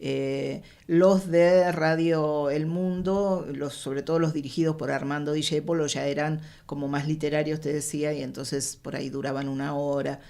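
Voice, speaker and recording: A woman's voice: low-pitched, steady tone, normal speed, creaky, hardly any pauses, dark tone. An Argentine woman telling stories about the history of radio in a conversational interview.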